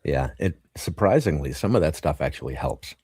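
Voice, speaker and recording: A man hosting a casual podcast interview, laid-back and agreeable, quick to laugh. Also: extremely low pitch, lively intonation, darkish tone, husky, dry recording. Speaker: podcast host.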